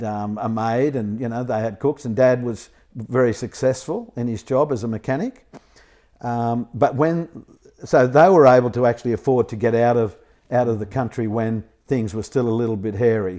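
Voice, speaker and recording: A man in his seventies from the Netherlands talks easily about his family's history, filling gaps with ums. His pitch sits in an ordinary middle range. He sounds relaxed and conversational. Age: 70s. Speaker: interviewee.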